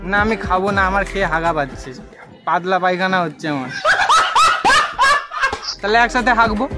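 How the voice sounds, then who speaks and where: A man's voice: high-pitched, normal speed. A young man with a West Bengal accent making casual prank calls, cheeky and straight-faced in his insistence. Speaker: prank caller and video host. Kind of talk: casual prank phone call chat. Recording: loud music under the voice. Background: music.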